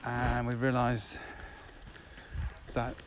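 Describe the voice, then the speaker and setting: Guy in his 50s chatting on a podcast, average pitch, recorded outside w clear background noise. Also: completely flat delivery, extremely slow. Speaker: podcast co-host, business owner. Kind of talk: casual conversational podcast chat. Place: outdoors at dusk. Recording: clearly noisy outdoor background.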